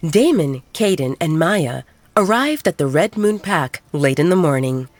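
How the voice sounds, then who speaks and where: A woman's voice: deep voice, big pitch swings, measured pace, no room sound. A woman reading scripted dramatic narration as the narrator of an audio story. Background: background music.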